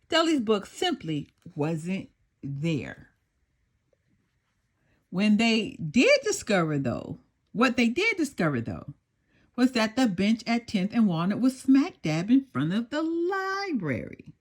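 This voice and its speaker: A woman reading a children's story aloud in an animated, expressive storytelling manner. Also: mid-range voice, takes it slowly. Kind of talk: animated scripted read-aloud narration for children.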